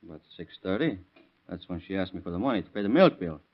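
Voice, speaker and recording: A hot-tempered young father from an old radio crime drama. His voice is fairly low, blunt and defensive, quick to get excited. The recording is dull, vintage and lo-fi. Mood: blunt, grumbling.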